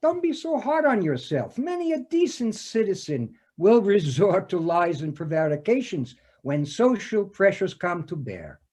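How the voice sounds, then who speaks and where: A man playing a pompous, deliberate police detective in a comic stage scene, speaking formally with hesitant, wordy phrasing. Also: high-pitched, very animated, a little mellow, slightly rough, full character voice. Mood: pompous, obliging. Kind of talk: comic readers theater scene.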